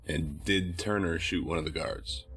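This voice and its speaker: An actor playing a firm, patient and weary male police detective in an audio drama, questioning in a measured way. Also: sits low, steady tone, a bit bright, slightly rough, soft background music, exaggerated voice. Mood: firm, probing. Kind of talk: naturalistic audio drama acting.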